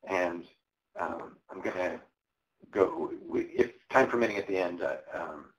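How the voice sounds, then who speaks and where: A man with a fairly low voice walks through code live at a tech presentation, explaining each step in a casual, halting way. His voice is going hoarse as he talks. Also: lo-fi sound. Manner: casual, explanatory, frequent ums and uhs. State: losing his voice. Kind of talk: live coding walkthrough. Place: conference presentation.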